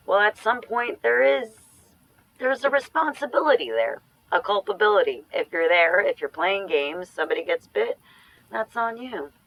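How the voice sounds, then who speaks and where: A woman's voice: lowish voice, dry recording, muffled recording. A woman acting an anxious, sardonic ex-girlfriend in a naturalistic audio drama, speaking in a dry, opinionated, conversational way. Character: anxious, sardonic ex-girlfriend living through a zombie outbreak.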